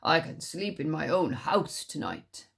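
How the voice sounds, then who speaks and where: A woman voicing a proud, stubborn stag in a storybook tale, with loud, stretched-out words. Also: low-pitched, sing-song, measured pace, creaky, slightly rough, voice pushed down, full character voice. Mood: contented.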